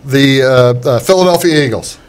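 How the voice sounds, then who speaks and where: A man's voice: mid-range voice, moderate pace, clear, resonant tone. A man hosting a casual sports talk show, chatty with wry asides. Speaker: show host.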